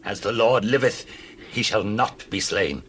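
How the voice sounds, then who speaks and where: A man's voice: fairly low pitch, unhurried, a bit bright, a little hiss or hum. An actor playing a jealous, scheming king of ancient Israel in a dramatized Bible reading, solemn and commanding. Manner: solemn, archaic, commanding delivery.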